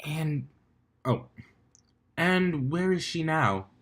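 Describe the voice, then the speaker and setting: A man's voice: on the high side, lively intonation, extremely slow, a bit bright, voice pushed up, exaggerated voice. A man voicing a prim, dramatic female unicorn with proper, fussy phrasing in an amateur fan fiction reading. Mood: inquisitive.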